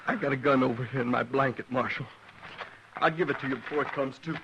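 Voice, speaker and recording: A man's voice: mid-range voice, fast talker, fairly bright tone, slight background noise, lightly acted. An actor playing a nervous male outlaw sidekick who stammers fearfully, on a 1950s radio western. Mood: grateful. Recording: dull old radio recording.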